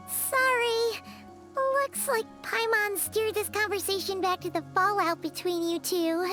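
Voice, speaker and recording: A woman voicing a tiny floating female fairy companion in a game, who is blunt, nosy and chatty, with a high, squeaky, childlike voice. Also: steady tone, sharp tone, soft background music, exaggerated voice. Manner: blunt, chatty, quick to tease. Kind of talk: game dialogue.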